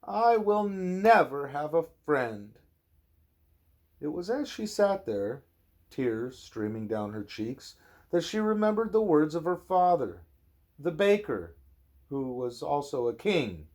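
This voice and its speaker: A man narrates a children's storybook in an animated, fatherly read-aloud style. His pitch moves up and down a lot while the pace stays slow, with dramatic pauses for the listener.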